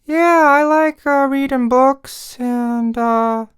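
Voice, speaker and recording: High, smooth, awkward ghost. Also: male voice, takes it slowly, fairly bright tone, exaggerated voice. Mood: shy cheer. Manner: hesitant, rambling. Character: mild, awkward, bookish friendly ghost.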